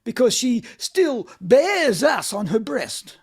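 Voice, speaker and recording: A woman's voice: on the high side, sing-song, slow pace, darkish tone, slightly rough, exaggerated voice. A woman playing a despairing, self-loathing middle-aged man in an amateur play reading, in an anguished, theatrical manner. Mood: bitter, mocking. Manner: anguished, theatrical, bitterly laughing.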